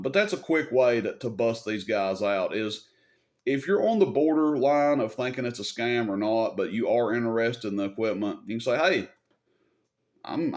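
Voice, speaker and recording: Plain-spoken American man talking casually to viewers. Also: medium pitch. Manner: plain-spoken, folksy, mildly salty. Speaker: video host and CB radio seller. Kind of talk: unscripted casual advice and storytelling to viewers.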